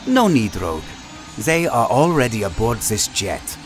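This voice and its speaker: Man playing lively, gentle mutant hero. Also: fairly low pitch, measured pace, faint music behind. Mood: tender, playful. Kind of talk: audio drama acting.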